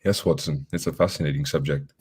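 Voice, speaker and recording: A man's voice: extremely low pitch, steady tone, quick pace, very noisy. A man playing a dry, condescending, cerebral detective with sardonic delivery in an old-time radio drama. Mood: dry, musing.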